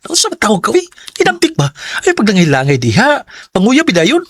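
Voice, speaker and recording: High-pitched, teasing Cebuano male buddy. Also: fairly quick, slightly rough, full character voice. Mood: egging on. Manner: teasing, animated. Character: teasing, nosy male buddy urging his friend on. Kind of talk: Cebuano radio drama acting.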